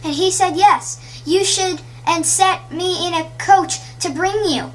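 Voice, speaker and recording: A woman's voice: very high voice, measured pace, a bit bright, voice pushed up. A woman voicing a tiny, affectionate little girl in a storybook, eager, childish and full of glee. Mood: gleeful, eager. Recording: clearly noisy audiobook recording.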